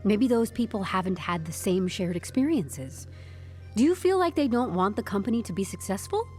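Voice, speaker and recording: An actress playing a composed, stubborn HR newcomer in an audio drama, speaking with deadpan corporate politeness and wry candour. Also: female voice, medium pitch, normal speed, faint music behind, natural voice. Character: composed, stubborn young HR newcomer turning interrogation into interview.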